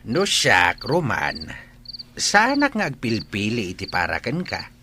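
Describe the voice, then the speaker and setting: A man's voice: on the low side, huge pitch swings, unhurried. An actor playing a plain-spoken, fond village father in a radio drama, talking in a folksy, emphatic way. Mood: advising. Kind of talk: Ilocano radio drama acting. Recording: noisy track with clicks and insects.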